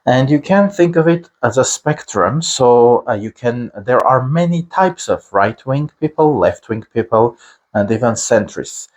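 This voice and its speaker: Armenian-accented male teacher, slow deliberate delivery, pausing often on fillers. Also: mid-range voice, lively intonation. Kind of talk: explanatory lesson to camera.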